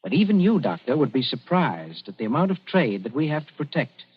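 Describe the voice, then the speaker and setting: An actor playing a cool young colonial official, speaking in a dry, unhurried way in a 1940s radio drama. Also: male voice, medium pitch, sing-song, slightly rough. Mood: calm. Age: young adult. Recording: dull 1940s radio broadcast.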